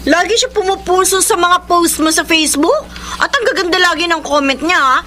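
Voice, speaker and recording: A woman's voice: extremely high pitch, animated, fairly quick, sharp tone, a little hiss or hum, full character voice. An actress playing a jealous, nagging girlfriend who accuses and needles in a Filipino radio drama. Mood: accusing.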